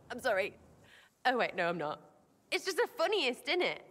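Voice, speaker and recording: A woman's voice: a little high, big pitch swings, unhurried, fairly bright tone, in a large hall, exaggerated voice. A woman playing a brash, irreverent young elf rebel in a video game, with an English accent and blunt, slangy, cheeky delivery. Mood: mocking. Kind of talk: video game cutscene acting.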